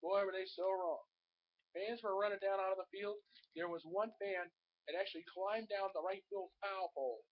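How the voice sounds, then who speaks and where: Hoarse male sports vlogger, high pitched, rambling, muffled webcam sound. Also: fairly even intonation, moderate pace. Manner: chatty, rambling, addresses viewers as folks. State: hoarse with a cold. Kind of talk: casual sports commentary to camera. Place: home.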